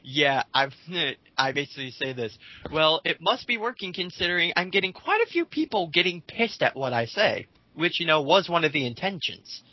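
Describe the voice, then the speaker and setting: Bright, higher-pitched man, sarcastic and sweary. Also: big pitch swings, normal speed, poor audio. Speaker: host of an online dramatic reading. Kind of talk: casual mocking commentary, reading comments aloud.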